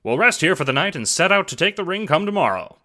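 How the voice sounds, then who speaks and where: A brash gangster schemer for an audio drama. He talks in cocky, jovial old-time wiseguy fashion, with a bright, punchy edge. He sounds sure of himself and out for his own gain. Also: sits high, sing-song, fast talker, exaggerated voice. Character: scheming, brash, egotistical male gangster adventurer.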